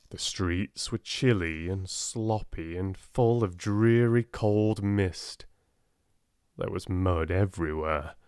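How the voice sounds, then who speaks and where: A man with a British accent reading a storybook aloud in a soft-spoken, gentle, measured way. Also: on the low side, clean tone, no echo, clean studio sound. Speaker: audiobook narrator.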